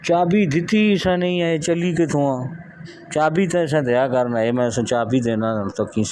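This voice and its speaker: A man's voice: on the high side, moderate pace, darkish tone, a little hiss or hum, natural voice. A man playing an easygoing, practical villager in an amateur rural audio drama, talking matter-of-factly.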